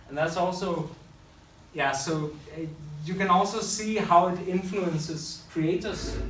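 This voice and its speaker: A man giving a public talk to an audience, loose and unscripted, often correcting himself mid-sentence. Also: on the high side. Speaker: public speaker and school teacher. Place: room with audience. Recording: echoey room from far off, faint hiss.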